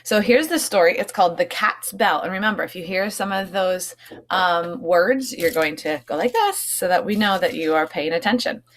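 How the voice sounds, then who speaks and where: A woman's voice: on the low side, moderate pace. A woman teacher reading a storybook aloud to young children, expressively, with explanatory asides.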